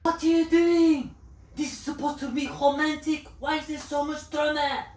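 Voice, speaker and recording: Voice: sits high, level tone, moderate pace, a little mellow, close to an everyday voice. An actor in a comic skit playing a bossy, melodramatic film director with a put-on French accent, scolding and commanding. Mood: indignant. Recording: roomy, echoing, distant mic.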